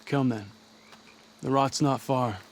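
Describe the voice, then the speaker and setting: A man's voice: medium pitch, fairly even intonation, takes it slowly, a little hiss or hum, natural voice. An actor playing an earnest, guilt-worn male fugitive in a naturalistic video game performance, somber in manner.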